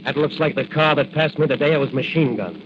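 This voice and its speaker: A man's voice: fairly high pitch, quick pace, lightly acted. Righteous crime-fighting hero, male actor, American, commanding radio-serial delivery, muffled recording with heavy noise. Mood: determined. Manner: declamatory, commanding tough talk. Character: heroic masked crime fighter, bold, righteous young man. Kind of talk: 1940s radio adventure serial acting. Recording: dull, noisy old radio recording.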